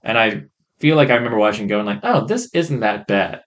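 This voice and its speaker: A man co-hosting a podcast, chatting casually in a rambling, hesitant way, on a rough-sounding faulty microphone. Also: on the low side, animated, brisk, fairly bright tone, muffled recording. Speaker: podcast co-host. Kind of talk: casual chat.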